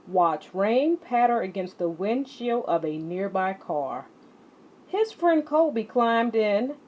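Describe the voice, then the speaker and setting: A woman reads a picture book aloud at an unhurried pace, with lots of rise and fall in her voice. Her storytelling is deliberate and expressive. The recording sounds a little dull and lo-fi. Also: medium pitch. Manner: animated, deliberate storytelling delivery. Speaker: read-along storyteller.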